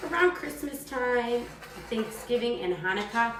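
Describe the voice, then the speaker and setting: Slow-paced woman teaching children, echoey room. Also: a little high, animated. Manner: simple, encouraging, instructional talk aimed at children. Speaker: teacher demonstrating a recipe for children. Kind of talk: step-by-step cooking demonstration for young children. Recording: distant mic, echoey room, faint noise.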